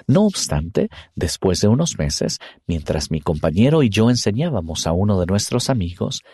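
A man reading a first-person story aloud in an earnest, expressive way. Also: fairly low pitch, slightly rough, dry recording, studio quality. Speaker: reader. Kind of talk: scripted first-person narration.

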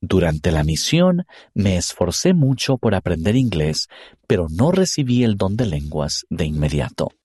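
A man reading a first-person story aloud in an earnest, expressive way. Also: deep voice, unhurried, slightly rough, no echo, clean studio sound. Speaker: reader. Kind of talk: scripted first-person narration.

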